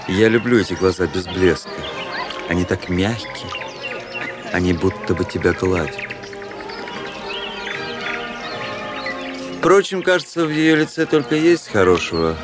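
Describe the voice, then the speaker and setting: An actor playing a cool, ironic young Russian officer who narrates his memoirs in a measured, detached way in a radio drama. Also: lowish voice, natural voice. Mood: ironic, teasing. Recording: radio play with prominent music behind. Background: music.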